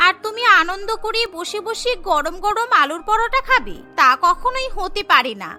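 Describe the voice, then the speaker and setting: Sly spoiled young girl of the household, woman acting: cartoon style, whiny, very high, bright. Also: very animated, moderate pace, faint music behind, exaggerated voice. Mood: gloating. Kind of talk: animated cartoon story voice acting.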